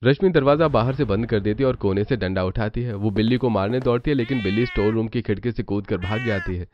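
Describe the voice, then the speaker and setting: A man's voice: lowish voice, fast, faint music behind. A man narrating a scripted cartoon family story. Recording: muffled audio, clear background noise.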